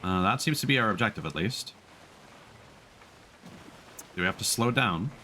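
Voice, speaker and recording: A man's voice: fairly low pitch, lively intonation, moderate pace, pushed and bright, slight background noise. A man doing casual live gameplay commentary as a streamer, hesitantly thinking aloud. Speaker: game streamer.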